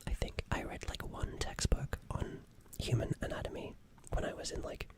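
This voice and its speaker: A woman voicing a gentle, flustered alien nurse in an ASMR roleplay, speaking soothingly and hesitantly and softly repeating her phrases. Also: moderate pace, close to an everyday voice. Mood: reassuring, concerned.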